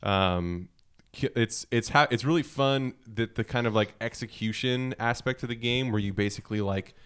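A man co-hosting a gaming podcast in casual, wryly joking talk with a smooth, clear voice. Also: medium pitch, normal speed, fairly bright tone. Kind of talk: casual chat.

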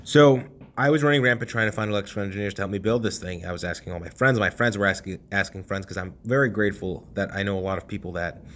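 Young male podcast host, casual storyteller, lively delivery with loud and hushed swings, swears freely. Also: on the low side, fast, few pauses, slight background noise.

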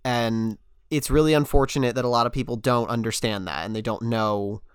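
A man's voice: medium pitch, a little fast, clean tone, dry recording, studio quality. A young adult man co-hosting a casual podcast, a little sick, talking things through earnestly with frequent restarts. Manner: earnest, thinking aloud with restarts. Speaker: podcast host.

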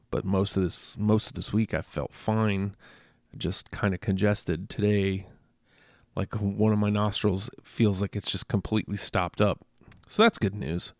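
A stuffy-nosed man talks alone into a close microphone for his podcast, rambling and self-deprecating between fillers and false starts. His voice is low and full of gravelly fry, and the recording is dry. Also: steady tone, measured pace, dry recording. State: congested. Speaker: podcast host. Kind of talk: casual solo podcast monologue.

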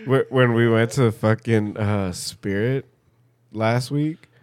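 A man's voice: medium pitch, slow, clear, resonant tone. A young man chatting casually as a podcast co-host, telling stories in slangy, profane language. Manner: profane, slangy, animated storyteller. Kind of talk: casual banter among friends.

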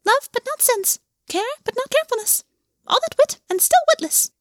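Shrill chirping paper bird, taunting and riddling, dry close sound. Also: female voice, animated, normal speed, no room sound.

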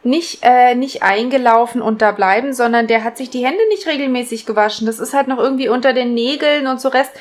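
A woman's voice: on the high side, steady tone, brisk, hardly any pauses. A woman co-hosting a podcast in casual, conversational discussion. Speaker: podcast co-host.

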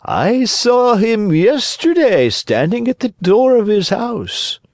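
A man's voice: high voice, big pitch swings, a little mellow, no echo, clean studio sound, pitched above the performer's own voice, heavily acted. A man voicing a sly, falsely courteous fox in a storybook, drawing his words out in a wheedling way. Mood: smooth, courteous. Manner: slow, drawn-out, wheedling.

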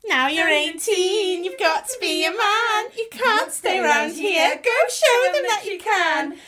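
Actress as goading village girl for radio drama; very high, swooping sing-song delivery, drawn out and teasing. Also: expressive, unhurried, sharp tone, heavily acted. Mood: mocking, goading. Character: taunting young village girl chanting at a lad. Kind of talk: radio play acting.

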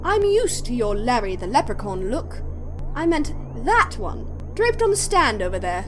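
A woman's voice: a little high, sing-song, moderate pace, a little hiss or hum. A woman acting in an audio drama as an inquisitive, teasing young companion, with a playful manner. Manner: playful, questioning, pert.

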